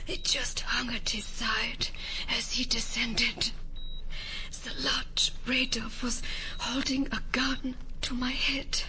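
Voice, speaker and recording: An actress playing a shaken, dedicated female scientist in an audio drama, speaking in a slow, hushed way. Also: a little high, little pitch movement, background noise. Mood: haunted, shaken. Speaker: voice actor. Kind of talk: modern audio drama acting. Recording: dramatic music under the voice.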